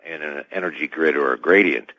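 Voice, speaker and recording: Over a dull, band-limited radio line, a man with a very deep voice speaks as an expert guest. His answers are formal and wordy, with an official ring. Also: a little fast, no room sound.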